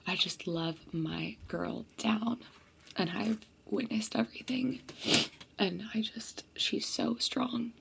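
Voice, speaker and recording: A young woman whispers a chatty storytime straight to her viewers, filling it with likes and ums. Her low voice breaks into a gravelly fry, and a faint hiss of noise sits underneath. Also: slow pace. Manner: chatty, many fillers. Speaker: vlogger.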